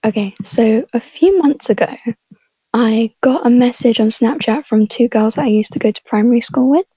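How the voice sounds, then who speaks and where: Woman, mellow husky voice, casual podcast chat, dull lo-fi mic. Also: fairly high pitch, moderate pace, no echo. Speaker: voice actor and podcast panelist. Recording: dull lo-fi microphone sound.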